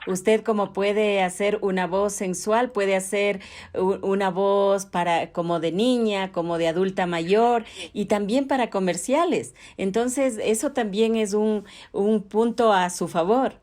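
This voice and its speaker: A woman with an Ecuadorian accent interviewing a guest in a measured, formal, courteous way. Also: medium pitch, a little mellow. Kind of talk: interview questions.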